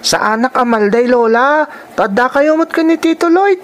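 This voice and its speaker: A woman's voice: sits high, expressive, normal speed. A woman performs a young Filipino child in a radio drama. The child speaks earnestly and plaintively, as if confiding in an elder. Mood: worried, sad. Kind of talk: Ilocano radio drama acting.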